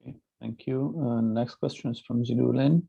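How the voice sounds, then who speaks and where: A man's voice: medium pitch, fairly even intonation, normal speed, gravelly, slightly rough. A man moderating questions at an online seminar, speaking informally over slightly muffled call audio.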